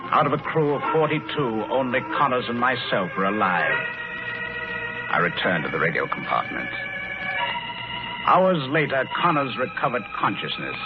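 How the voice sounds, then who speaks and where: An actor playing a grave, grieving colonel, a lone survivor, in deliberate, dramatic 1940s radio drama style. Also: male voice, medium pitch, close to an everyday voice. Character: stoic grieving male colonel, last survivor of Earth's destruction. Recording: dull 1940s radio recording, music behind.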